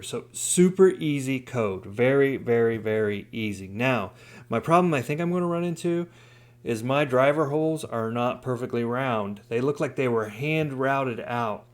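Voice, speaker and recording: Casual man walking through a DIY project. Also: mid-range voice. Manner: informal, explaining step by step. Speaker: DIY hobbyist video host. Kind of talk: casual hands-on tutorial talk.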